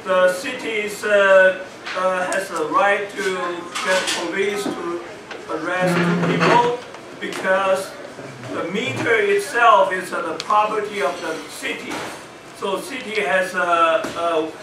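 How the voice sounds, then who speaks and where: A man from Taiwan, speaking haltingly with many fillers as an audience member at a meeting. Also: high-pitched, fairly even intonation. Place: meeting room. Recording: echoey room, distant mic, noisy.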